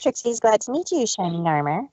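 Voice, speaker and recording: A woman's voice: medium pitch, sing-song, fairly quick, a little mellow, no echo. Woman voicing a proud, formal cartoon pony mare. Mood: proud, curious. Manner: haughty, formal, third-person speech. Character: proud show-mare pony speaking of herself in third person.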